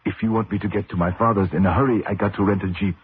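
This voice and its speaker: An actor playing a steady, mystical racing-team hand in a radio mystery, with terse, deliberate, foreign-flavored phrasing. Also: male voice, on the low side, background noise, close to an everyday voice. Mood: insistent. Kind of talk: radio mystery drama acting. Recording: muffled narrow-band old radio recording.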